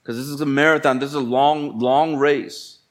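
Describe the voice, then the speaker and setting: A man's voice: mid-range voice, fairly even intonation, normal speed. Man, pastor. Sermon delivery in everyday wording, urging listeners on, mid-range voice. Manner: colloquial, exhorting, repeats phrases for emphasis.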